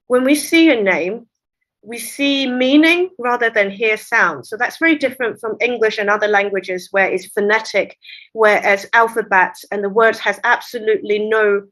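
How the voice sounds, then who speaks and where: A woman translator whose first language is Cantonese, explaining her work at length in a relaxed interview, with light laughs. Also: fairly high pitch, low-quality recording. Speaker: literary translator, guest. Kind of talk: conversational interview.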